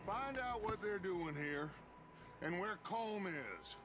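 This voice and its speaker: Slow, commanding American outlaw leader. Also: male voice, high-pitched, animated. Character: commanding middle-aged male outlaw gang leader, smooth and reassuring. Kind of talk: video game cutscene acting. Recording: noisy outdoor ambience behind dialogue.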